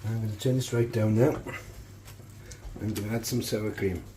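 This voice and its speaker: A man with a slightly hoarse, croaky voice, talking casually through each cooking step in a home kitchen. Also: fairly low pitch, normal speed, a little hiss or hum. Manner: relaxed, chatty step-by-step talk. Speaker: home cook. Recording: frying sizzle behind voice.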